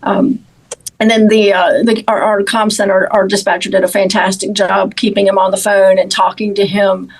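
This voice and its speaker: A woman speaks as a law enforcement official in an interview. Her pitch is average for a woman, and she explains things in a measured, halting way, often doubling back on words. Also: level tone, poor audio. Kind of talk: interview answers about an investigation.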